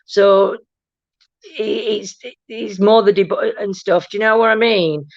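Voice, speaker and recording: A woman hosting a paranormal chat stream, talking casually with a loud, booming voice and many restarts. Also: fairly even intonation, unhurried, dry recording. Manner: rambling, many restarts and "do you know what I mean". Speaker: host of a paranormal chat show. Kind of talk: casual live-stream chat reading viewer comments. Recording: dull, dry low-fi stream audio.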